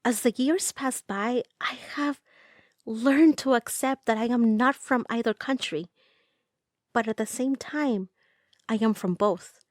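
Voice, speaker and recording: A woman's voice: on the high side, a little slow, dry recording, studio quality. A woman from the United States narrating a documentary about belonging, in an earnest, reflective way.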